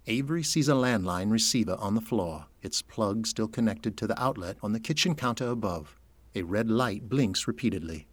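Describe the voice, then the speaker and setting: A man's voice: on the low side, slight background noise. A man narrating an audio drama from a script, in present-tense storytelling. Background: music.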